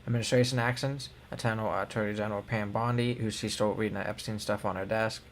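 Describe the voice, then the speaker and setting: A man's voice: fairly low pitch, fairly even intonation, quick pace, a little hiss or hum. A young man co-hosting a news show, reading headlines and then giving loose, filler-heavy opinions. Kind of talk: casual news commentary.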